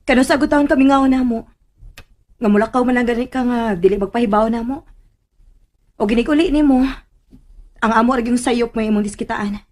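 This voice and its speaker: A woman's voice: on the high side, a little fast, long pauses, a little mellow, close to an everyday voice. A woman playing an outspoken young daughter in a radio drama, teasingly scolding. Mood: chiding. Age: young adult. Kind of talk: Filipino radio drama acting.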